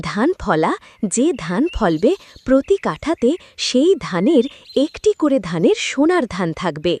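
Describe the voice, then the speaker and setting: Gentle, motherly goddess, lively pitch. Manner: tender, motherly, reassuring. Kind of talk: animated moral story voice acting.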